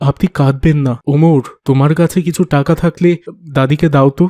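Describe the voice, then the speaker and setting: A man voicing a kind father who gently reassures others in a cartoon. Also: a little high, steady tone, brisk, natural voice. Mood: reassuring.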